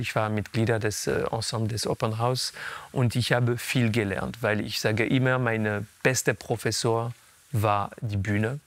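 French-accented man, halting German. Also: medium pitch. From France and Switzerland. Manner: halting, searches for German words. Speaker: opera singer guest. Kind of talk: casual interview.

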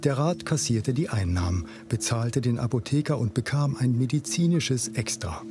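A man reads a scripted voice-over for a TV report at a mid pitch. His delivery is steady and informative. Music runs underneath. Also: moderate pace. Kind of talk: scripted TV narration.

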